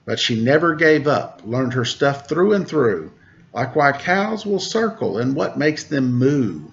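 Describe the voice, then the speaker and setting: A man, a teacher, reading a rhyming picture book aloud to children in a lively way and asking them questions. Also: mid-range voice, unhurried, creaky, lo-fi sound. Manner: lively rhyming delivery with rising questions to listeners. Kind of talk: storybook read-aloud to children.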